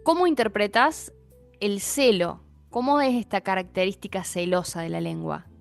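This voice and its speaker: Podcast host, woman, Argentine accent, calm conversational questions, mid-range voice. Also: medium pitch, moderate pace, gravelly, faint music behind. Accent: Argentine Spanish. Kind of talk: interview conversation.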